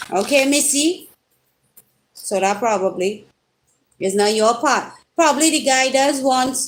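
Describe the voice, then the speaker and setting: A woman's voice: on the high side, slight background noise. A woman with a Trinidadian accent giving a casual live tarot reading, chatting and teasing her viewers directly with sudden rising exclamations. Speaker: tarot reader.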